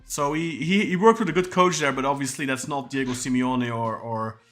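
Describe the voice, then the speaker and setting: A man's voice: mid-range voice, brisk, a bit bright, soft background music. A man co-hosting a casual football podcast, chatting and reading viewer comments aloud. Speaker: podcast co-host.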